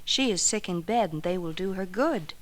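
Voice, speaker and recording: A man's voice: high voice, animated, moderate pace, pitched above the performer's own voice, low-quality recording. A man voicing a caring storybook mother giving careful instructions in a raised voice. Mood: instructing.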